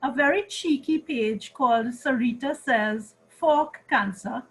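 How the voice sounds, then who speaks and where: A woman with a Trinidadian accent hosting an interview series, introducing her guest in measured, welcoming words. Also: high voice, poor audio. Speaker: interview host. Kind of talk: hosted interview.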